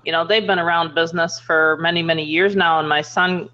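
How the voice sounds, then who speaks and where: A woman's voice: low voice, fairly even intonation, fairly quick, pushed and bright, muffled recording. A woman hosting a casual online group chat, talking in an opinionated, rambling way with many "you know"s over a video call. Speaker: host.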